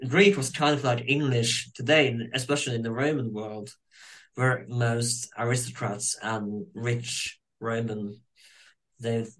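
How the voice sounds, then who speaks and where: A man's voice: mid-range voice, a bit bright, rough recording. A man from Norway, a native Norwegian speaker with a Norwegian accent, chatting as an interviewer in a casual conversation.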